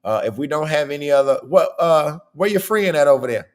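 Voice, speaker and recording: A man hosting a podcast in a casual, chatty way. His voice is hoarse and worn, and he keeps checking in with "okay?". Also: on the high side, animated, fairly quick. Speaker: podcast host.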